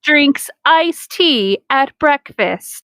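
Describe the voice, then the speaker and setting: A woman's voice: high-pitched, expressive, extremely slow, fairly bright tone, no echo. A woman co-hosting a podcast, reading aloud and commenting casually in a sarcastic, exasperated way with plenty of swearing. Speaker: podcast co-host reading fan fiction aloud.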